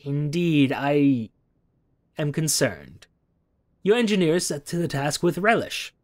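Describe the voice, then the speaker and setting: A man's voice: on the high side, very animated, slow pace. Man musing aloud over a game. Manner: musing, thinks aloud with frequent hums. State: losing his voice. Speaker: game streamer. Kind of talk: let's play commentary with reading of game text.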